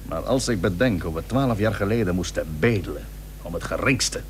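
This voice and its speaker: A man's voice: low-pitched, animated, moderate pace. An actor playing a stern, proud admiral and cautious seaman in a historical radio play, speaking formally and emphatically. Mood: measured, wary. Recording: old radio recording with faint hum.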